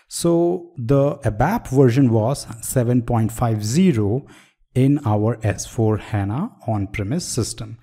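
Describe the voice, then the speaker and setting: Man explaining over slides, mellow tone. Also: mid-range voice. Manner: explanatory, asks the viewer questions, frequent "so". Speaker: software trainer. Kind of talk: screen-recorded tutorial lecture.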